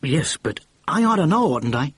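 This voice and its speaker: Male reader doing a hushed, hissing woman who turns loudly hearty; husky, dry, sing-song. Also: on the high side, sing-song, moderate pace, no room sound, voice pushed up, full character voice. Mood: conspiratorial. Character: woman, brassy conspiratorial young housemate.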